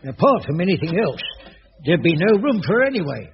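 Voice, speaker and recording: A man's voice: on the high side, big pitch swings, brisk. A man playing a bumbling, flustered older caretaker who stammers and laughs nervously, in a voice. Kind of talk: 1980s radio sitcom acting. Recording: dull old radio recording with hiss.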